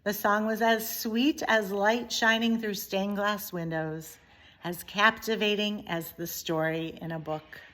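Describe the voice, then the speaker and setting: A woman reading a children's story aloud in an expressive, deliberate way with dramatic pauses. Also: mid-range voice. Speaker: teacher reading a book aloud.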